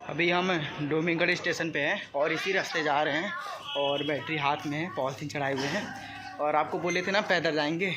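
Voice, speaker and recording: A man with an eastern Uttar Pradesh accent talking casually to viewers in a vlog, explaining things repetitively with frequent check-in tags. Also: on the high side. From Gorakhpur, India. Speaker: vlogger. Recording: clearly noisy amateur vlog audio with ambience.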